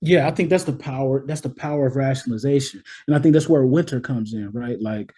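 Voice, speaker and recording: A man's voice: mid-range voice, fast, dark tone, breathy edge. A man talking through ideas as an interview guest in an emphatic, conversational way, often checking that the listener follows. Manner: emphatic, checks in with "right?" and "you know what I mean?". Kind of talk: conversational explanation of social theory.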